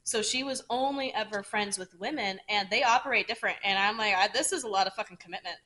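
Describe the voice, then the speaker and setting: A woman's voice: medium pitch, fast, bright, forceful tone, poor audio. A woman co-hosting a podcast, chatting casually and frankly, swearing freely and explaining things at length with asides. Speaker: podcast co-host. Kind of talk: casual chat.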